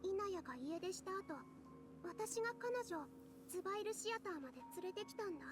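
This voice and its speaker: Game role: earnest, gentle young female dancer, very high voice, quick delivery, over loud music. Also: animated. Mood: regretful. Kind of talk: Japanese video game dub acting.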